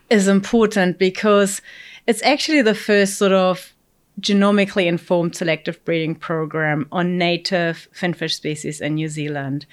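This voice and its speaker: A woman's voice: on the low side, clean tone. A middle-aged woman from Germany, a fish breeding scientist answering interview questions with many fillers and asides. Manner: thoughtful, explains with many fillers and "you know".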